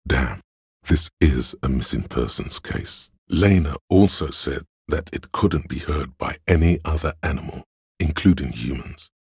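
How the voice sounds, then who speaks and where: A man voicing the cold, dry inner logic of a detective in a video game, measured and analytical, with a gravelly, husky delivery. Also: a bass voice, animated, a little mellow, no echo, heavily acted. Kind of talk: scripted video game voice acting, second-person narration.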